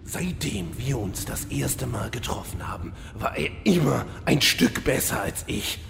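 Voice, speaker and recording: A very gravelly demon lord played by a man speaks in a long reverb over faint noise. He is vain, envious and insecure, ranting with theatrical exasperation in a fantasy parody audio drama. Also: medium pitch, animated, unhurried. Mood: envious, petulant.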